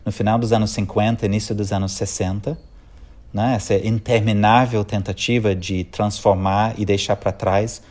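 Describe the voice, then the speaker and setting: A middle-aged man from England with an English accent, speaking Portuguese as a second language, giving thoughtful, measured interview answers as a conflict mediator, pausing and restarting phrases as he thinks. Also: on the low side, little pitch movement, darkish tone.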